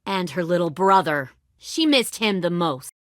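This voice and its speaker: A bitter, menacing female raider leader for a dramatic video game. Her voice sits low and is recorded very dry. She talks deliberately, with condescension and cold threat in her delivery. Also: animated, dry recording. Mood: quiet, manipulative.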